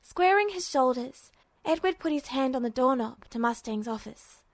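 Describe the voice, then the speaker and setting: A woman reading a fan fiction story aloud with steady narration. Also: high-pitched, moderate pace, no echo. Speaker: podfic reader.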